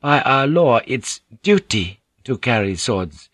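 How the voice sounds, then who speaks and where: A man voicing a polite, composed Japanese woman interpreter in an audiobook story, speaking in a measured, formal and deferential way. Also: mid-range voice, very animated, a bit bright, no room sound, clean studio sound, pitched above the performer's own voice, exaggerated voice. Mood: formal, explaining.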